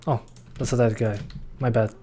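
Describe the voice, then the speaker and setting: A man's voice: mid-range voice, steady tone, normal speed, warm tone, slight background noise. A young man from Uruguay, chatting casually while streaming a game and reading chat aloud. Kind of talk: live gameplay commentary. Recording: faint gunfire behind voice.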